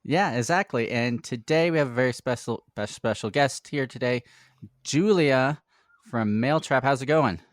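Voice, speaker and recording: A man hosting a software development podcast, asking his guest questions in an upbeat, friendly way. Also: mid-range voice, normal speed, no room sound, clean studio sound. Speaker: podcast host. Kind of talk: podcast interview.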